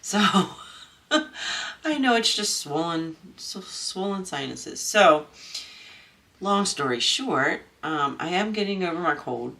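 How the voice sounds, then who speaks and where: Stuffy-nosed woman chatting slowly. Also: fairly low pitch, expressive, gravelly, pushed and bright, slight background noise. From Maryland, USA. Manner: rambling, many ums and restarts. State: stuffy, congested nose. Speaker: crafting channel host. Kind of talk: casual chat while crafting. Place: at home.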